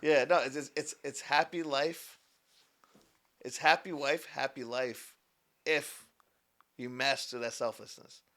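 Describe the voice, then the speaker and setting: Young male business founder, podcast guest, earnest and rambling, average pitch. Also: steady tone, unhurried, long pauses, fairly bright tone. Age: 20s. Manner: earnest, rambling with many restarts. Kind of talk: casual podcast conversation.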